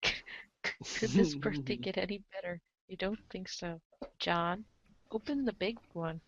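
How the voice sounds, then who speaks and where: A woman's voice: lowish voice, lively intonation, slow pace, lo-fi sound, crowd noise. A woman reading a comic aloud as the show's narrator, theatrical and playful, slipping into casual asides. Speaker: narrator of a comic read-along show.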